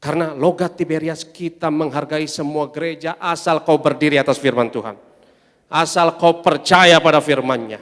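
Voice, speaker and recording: Emphatic pastor in a reverberant hall. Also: male voice, fairly high pitch, fairly even intonation, normal speed. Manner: emphatic preaching, swelling loud on refrains, calls for amen. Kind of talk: sermon. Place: large church hall. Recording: long church-hall reverb.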